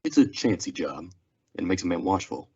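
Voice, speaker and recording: A man playing a stoic, lonely frontier marshal in an old-time radio western, with a husky voice. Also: low voice, big pitch swings, normal speed, highs cut off, heavy background noise.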